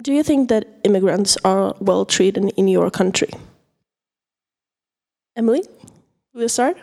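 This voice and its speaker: A woman's voice: medium pitch, a little slow, gravelly, a little mellow. Teen woman hosting a student podcast. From Sweden. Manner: friendly, leads the questions. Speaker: podcast host. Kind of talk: casual student podcast discussion.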